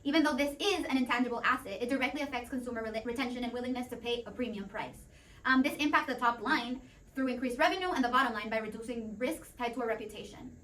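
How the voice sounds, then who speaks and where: A woman's voice: a little high, quick pace, fairly bright tone. A woman delivering a prepared persuasive argument on a debate panel, earnest and formal. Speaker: debate panelist playing an executive.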